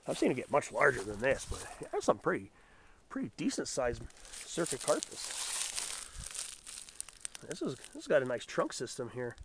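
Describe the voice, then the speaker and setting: A man getting over a head cold, his voice deeper and raspier than usual, casually naming plants as he walks through a mountain forest before dawn. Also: normal speed, pauses often. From Southern California. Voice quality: raspy. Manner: musing, relaxed botanical naming. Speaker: nature vlogger. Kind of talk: casual walking commentary. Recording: outdoor camera audio with background noise.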